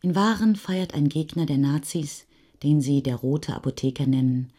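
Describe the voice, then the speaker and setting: A woman narrating literary prose in a scripted radio reading, with a husky voice. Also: sits low, steady tone, normal speed, dark tone.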